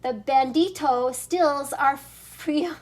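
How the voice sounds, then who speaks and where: A woman plays a small, earnest Chihuahua fellow from a picture book. He speaks in a high voice, slowly and dramatically, with playful mock-Spanish flavour. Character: little male Chihuahua bandmate, earnest storybook explainer.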